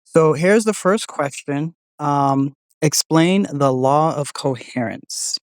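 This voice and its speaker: A man's voice: fairly high pitch, slow pace, smooth, no echo. Casual man hosting a tech demo, dry sound. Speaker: host testing an AI voice assistant.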